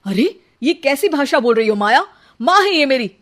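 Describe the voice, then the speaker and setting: Exasperated young husband played by a woman, pitched down, weary peacemaker in a cartoon family drama. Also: big pitch swings, normal speed, fairly bright tone. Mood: bewildered, reproachful. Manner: pleading, mildly exasperated. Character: weary young husband caught between wife and mother.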